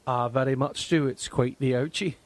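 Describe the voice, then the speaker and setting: Injured dimwit companion male actor: naive, drawn-out complaining, mid-range voice, comedic fantasy audio drama, heavy cavernous echo. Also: mid-range voice, steady tone, natural voice. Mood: whiny, eager. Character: Skotrick, whiny dim childish injured male companion. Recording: long echoing cave-like reverb.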